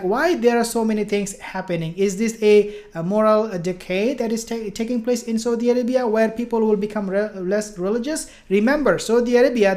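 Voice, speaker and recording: Woman doing informal religion and politics commentary, mid pitch, emphatic, repeats herself, lots of rhetorical questions. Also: a little mellow.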